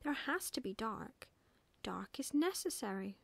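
A woman's voice: a little high, sing-song, unhurried, gravelly, no echo, exaggerated voice. A woman voicing a proud, bossy little girl in a storybook, explaining things in an emphatic, matter-of-fact way.

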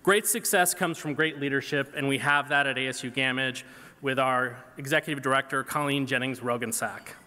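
A man in his forties giving a prepared award acceptance speech from a podium in a large hall, earnest and measured. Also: medium pitch, sharp tone. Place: large banquet hall stage. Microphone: podium microphone. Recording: reverberant hall PA sound.

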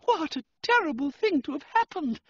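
A man voicing a gushing, excitable society lady in a storybook reading, with a theatrical manner. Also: extremely high pitch, moderate pace, dry recording, dull audio, voice pushed up, exaggerated voice. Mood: gushing concern.

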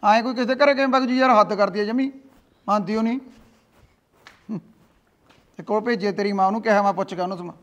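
A man's voice: sits high, steady tone, quick pace, long pauses, fairly bright tone, dull audio. A man playing a stern, dismissive father in a family quarrel. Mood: gruff. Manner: gruff, dismissive. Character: gruff stern father of the husband.

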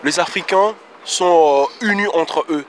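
A young man runs a street interview on a busy city street outdoors, holding a phone out as a mic. His voice sits a bit high for a man, and he fills his questions with short hesitations. Traffic sounds faintly behind him. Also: unhurried, poor audio. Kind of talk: street vox pop interview. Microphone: handheld phone held out.

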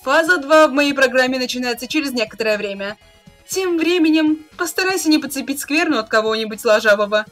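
A scheming young villainess acted by a woman in a webcomic dub. Her voice is on the high side, with soft music behind it. She speaks with smug, haughty condescension and theatrical flair. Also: lively intonation, a little fast. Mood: condescending. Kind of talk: dramatic reading of a webcomic chat.

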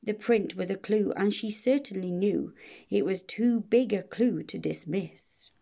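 A woman narrates a rhyming children's story. She takes it slowly and expressively, measuring out each phrase. Her tone is mellow, with a noticeable creak in it. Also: mid-range voice. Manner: measured, expressive bedtime reading. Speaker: storybook reader.

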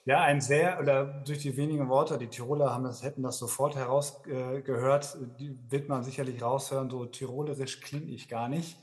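A man's voice: mid-range voice, steady tone, brisk, hardly any pauses. Friendly man, rambling answers. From Germany (Dortmund, Ruhr area). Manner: friendly, rambling with many fillers. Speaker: sales manager, guest. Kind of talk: conversational interview answer.

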